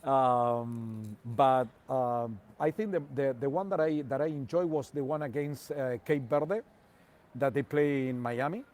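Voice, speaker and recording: Argentine man in careful, halting English. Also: medium pitch, darkish tone. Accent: Argentine Spanish. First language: Spanish. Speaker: guest soccer commentator. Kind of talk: casual interview by remote call.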